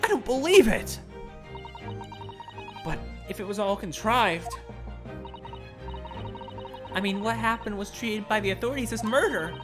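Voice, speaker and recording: A streamer voicing an earnest young male lawyer who is high-pitched, excitable and indignant, over game music. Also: a little slow, pauses often, lightly acted. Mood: indignant, shocked.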